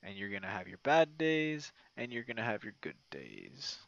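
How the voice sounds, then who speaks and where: A man chatting casually in a late-night ASMR style, rambling and halting in an informal way. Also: fairly low pitch, wildly swooping pitch, vocal fry, fairly bright tone. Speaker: ASMR roleplay creator. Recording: dull, muffled lo-fi audio.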